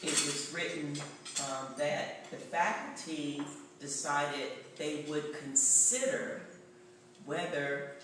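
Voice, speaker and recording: A man's voice: on the high side, fairly even intonation, takes it slowly. Wry Tennessee man lecturing in echoey hall. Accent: Tennessee Southern. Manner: anecdotal, wry, conversational. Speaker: journalism professor giving a public talk. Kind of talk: public lecture. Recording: echoey hall, voice far from mic, background noise.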